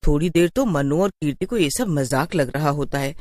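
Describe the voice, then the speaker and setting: A woman narrates a scripted horror story as its storyteller. Her pitch sits on the low side with lively movement, and the recording is very dry. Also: a little fast, no echo.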